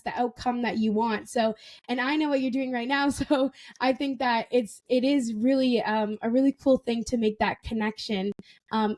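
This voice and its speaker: A woman in her twenties hosting a casual podcast interview, enthusiastic and quick to laugh, often repeating words for emphasis. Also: fairly high pitch, a little mellow. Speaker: podcast host.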